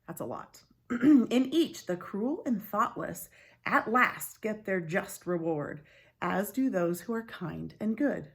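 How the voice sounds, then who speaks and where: A woman reading a story aloud like a teacher, expressive and clearly phrased, with informal asides. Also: mid-range voice, slow pace.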